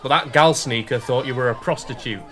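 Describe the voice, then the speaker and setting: Man to play kindly, cheeky working-class English lad, Victorian radio drama. Medium pitch, informal slangy delivery, bustling crowd behind. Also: fairly quick, fairly bright tone, natural voice. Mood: teasing. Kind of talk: period radio drama acting.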